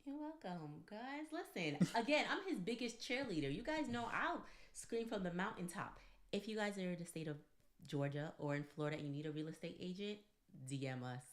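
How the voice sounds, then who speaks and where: Low-voiced woman co-host in an echoey room. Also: expressive, fairly quick. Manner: emphatic, addresses listeners directly. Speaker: podcast co-host. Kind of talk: conversational relationship advice talk. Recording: echoey, roomy sound.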